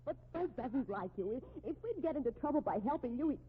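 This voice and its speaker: Fast, agitated, scolding sister. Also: female voice, high-pitched, animated, slight background noise. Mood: reproachful. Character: anxious, reproachful, respectable sister of a fugitive. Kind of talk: 1950s radio drama acting. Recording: muffled, narrow-band 1950s radio recording.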